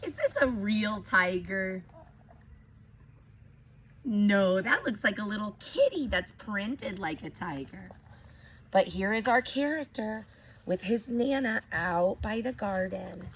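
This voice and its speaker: Animated woman reading a picture book aloud. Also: medium pitch, a little hiss or hum, rough recording. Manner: expressive reading that pauses to ask listeners questions. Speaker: teacher. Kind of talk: picture-book read-aloud for young children.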